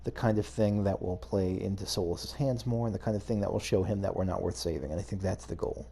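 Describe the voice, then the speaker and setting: A man's voice: low-pitched, fairly even intonation, brisk, gravelly, dark tone, slightly rough. A man giving casual video game playthrough commentary, hesitantly thinking aloud as he goes. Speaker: gaming video host.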